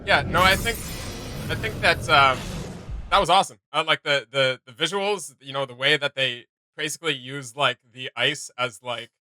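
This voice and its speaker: A man hosting a reaction video with casual, excitable, slangy commentary. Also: a little high, moderate pace, no echo, soft background music.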